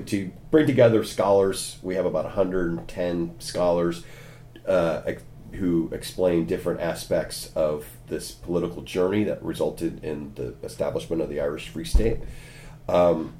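A Californian American man, a history lecturer, answering interview questions in his office, often pausing with ums and restarting. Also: deep voice, mellow. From California, USA. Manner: frequent ums and restarts, lightly wry. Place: university office. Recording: imperfect office recording.

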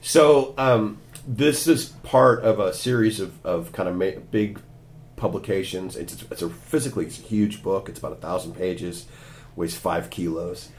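A Californian American man, a history lecturer, answering interview questions in his office, often pausing with ums and restarting. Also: low-pitched, darkish tone, slight background noise. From California, USA. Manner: frequent ums and restarts, lightly wry. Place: university office. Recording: imperfect office recording.

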